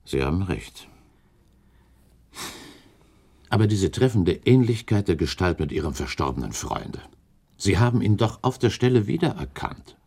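A man playing an earnest, puzzled gentleman companion to a prince in a German radio play, speaking formally and respectfully. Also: sits low, very animated, normal speed, breathy edge, heavily acted. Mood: puzzled, conceding.

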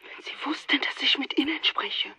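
A woman's voice: high voice, normal speed, bright, forceful tone, dry recording. An actress in a German radio drama playing a frightened, hunted young woman, whispering urgently in secret. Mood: fearful whispering. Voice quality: breathy, whispering.

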